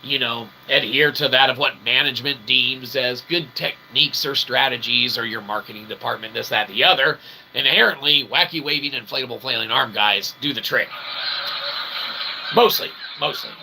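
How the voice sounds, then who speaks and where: Gravelly man rambling comedically, noisy recording. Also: mid-range voice, moderate pace, sharp tone. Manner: mock-formal, wordy phrasing with frequent check-in tags. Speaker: host of an online show. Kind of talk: rambling comedic monologue to a livestream chat.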